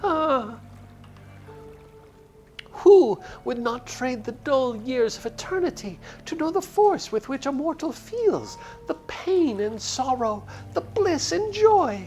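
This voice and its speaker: A woman's voice: high voice, sing-song, slow, darkish tone, faint music behind, pitched above the performer's own voice, full character voice. A woman voicing an eager, wistful spirit of light from a fantasy game, speaking in grand, formal, archaic phrases with emphasis. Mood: yearning, rapturous. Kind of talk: theatrical read-aloud of a fantasy game character.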